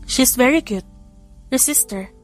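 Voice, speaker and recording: A woman narrator reads a kind young woman in an audiobook romance. She speaks high and accepting, like an older sister, with music underneath. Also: expressive, unhurried. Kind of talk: audiobook character dialogue.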